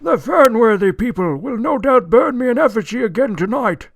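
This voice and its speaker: An actor in a radio drama playing a cantankerous, litigious old gentleman, pompous and indignant, declaiming in a creaky voice. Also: very high-pitched, expressive, moderate pace, a little hiss or hum. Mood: suspicious, indignant. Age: older.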